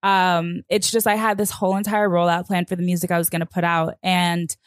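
A young woman from New York with a soft, slightly low voice, chatting as an interview guest. She pads her talk with fillers and laughs easily. The sound is close and dry. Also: level tone, fairly quick, clear, resonant tone, no room sound. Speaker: musician guest. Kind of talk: casual interview chat.

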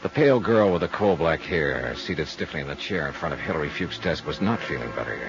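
An actor playing a wry, hard-boiled insurance investigator, narrating and talking in a clipped, dry way in a 1950s radio drama. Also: male voice, extremely low pitch, animated, a little fast, creaky, highs cut off, exaggerated voice. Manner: clipped, terse, dry delivery. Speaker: detective narrator and lead character. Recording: vintage 1950s radio recording with music.

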